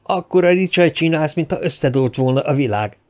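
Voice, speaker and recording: A man voicing a gruff, impatient, menacing gunman in an audiobook, growling scornful warnings. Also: medium pitch, fairly even intonation, darkish tone, natural voice. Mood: irritated.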